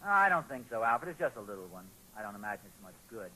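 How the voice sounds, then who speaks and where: A man's voice: mid-range voice, sing-song, fast talker, bright, forceful tone, heavily acted, poor audio. An actor playing a well-meaning, flustered father in 1940s radio comedy-drama, hedging and backpedaling in a homespun way. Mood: casual, doubtful. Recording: 1940s radio broadcast recording.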